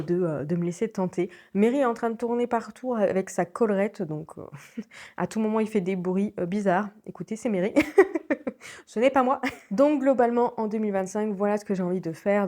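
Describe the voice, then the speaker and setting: A woman's voice: medium pitch, dark tone. A young woman with a French accent and a stuffy nose from a cold, chatting casually at home about her reading plans, with many fillers and small laughs. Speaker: book vlogger. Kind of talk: casual chat to camera. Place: at home, indoors.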